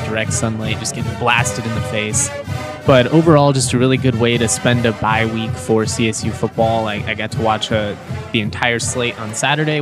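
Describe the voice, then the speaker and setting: A man with a slightly hoarse voice hosting a casual sports podcast, talking loosely and repeating words as he goes. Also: on the low side. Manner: chatty, repeats words, laughs between points.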